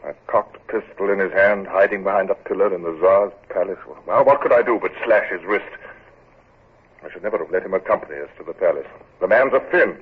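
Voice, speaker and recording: A brooding, short-tempered naval commander with a deep, husky voice and clipped, cultured speech. The sound is thin and tinny, like an old radio broadcast. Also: male voice, fairly quick. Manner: clipped, impatient, cultured English delivery. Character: brooding, irritable middle-aged naval commander. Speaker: narrator and lead. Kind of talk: 1940s radio drama acting.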